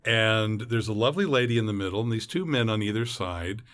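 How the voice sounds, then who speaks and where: Middle-aged man telling animated anecdotes. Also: fairly low pitch, brisk. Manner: animated storyteller who acts out quotes. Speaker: podcast guest, voice actor and former impersonator. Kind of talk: anecdotal interview chat.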